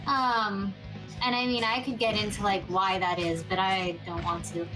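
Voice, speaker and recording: A woman's voice: mid-range voice, level tone, moderate pace, rough recording. A woman hosting a casual gameplay chat, explaining things in a conversational way. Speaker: podcast host presenting gameplay. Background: music behind the voice.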